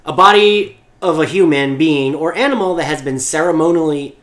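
A man co-hosting a podcast in casual chat, relaxed and hedging with fillers. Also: on the high side, normal speed. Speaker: podcast co-host. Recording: echoey room.

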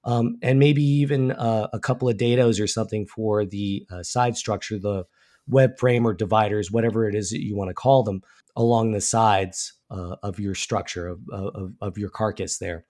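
A man's voice: fairly low pitch, normal speed, darkish tone. Congested guy w a cold, losing his voice, rambly podcast chat full of uhs and restarts. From Alabama. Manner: casual, many ums and restarts. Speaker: woodworking podcast co-host.

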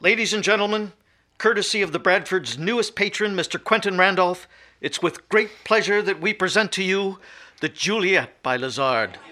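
An actor playing a young art museum director, lovestruck and easily flustered, in an audio drama. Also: male voice, sits high, moderate pace, background noise, lightly acted. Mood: formal, proud. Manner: stammering, breathless when flustered. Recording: music under the voice.

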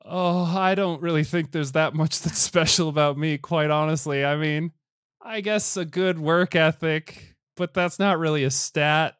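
A man's voice: fairly high pitch, steady tone, a little slow, fairly bright tone, clean tone, no echo, studio quality. A man voicing a self-doubting young bachelor, hesitant and pleading, in a dating show parody. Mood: insecure, pleading. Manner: unsure, hesitant.

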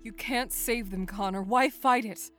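A woman's voice: fairly high pitch, a bit bright. A woman voicing a taunting ghostly wife. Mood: coaxing. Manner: slow, coaxing, then shrieking. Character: dream phantom of a dead wife, taunting and cruel. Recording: faint music behind.

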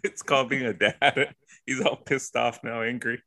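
A man's voice: mid-range voice, moderate pace, background noise. A man co-hosting a podcast, casually ribbing his friends. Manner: playful ribbing, lively delivery. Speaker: podcast co-host. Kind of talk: casual chat.

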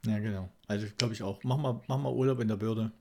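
A man's voice: on the low side, steady tone, fast, mellow. A man hosting a podcast in casual conversation, rambling and reflective, often checking for agreement with little tag questions. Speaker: podcast host.

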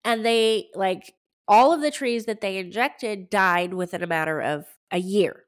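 Woman in her 30s w a stuffy head cold, rambly chatty podcast talk, unhurried. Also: medium pitch. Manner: chatty and rambling, with many fillers and restarts. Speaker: podcast host. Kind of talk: casual solo podcast talk.

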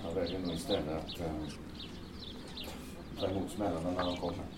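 A man's voice: low voice, little pitch movement, close to an everyday voice. A man playing a troubled, earnest man in an audio drama, speaking hesitantly and repeating himself. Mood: sad, subdued. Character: troubled, sad, earnest man recovering from despair. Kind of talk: naturalistic audio drama acting. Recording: echoey, distant, noisy with birds.

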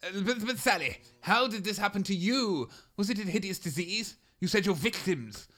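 A man's voice: sits high, animated, moderate pace, sharp tone, exaggerated voice. A man voicing a bumbling, sarcastic male presenter who stammers and sounds exasperated.

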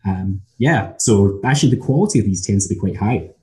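Scottish male lecturer, measured and thoughtful; very dark, low-pitched voice. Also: animated. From Scotland. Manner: measured, thoughtful, frequent ums. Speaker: academic lecturer. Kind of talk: casual academic conversation.

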